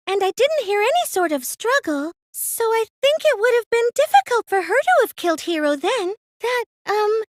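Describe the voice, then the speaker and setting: Very high, girlish anime cosplay fangirl. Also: dry recording, studio quality. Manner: hesitant, girlish, theatrically emotive. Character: soft-spoken, excitable teenage girl, self-effacing cosplay fangirl. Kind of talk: anime game voice acting.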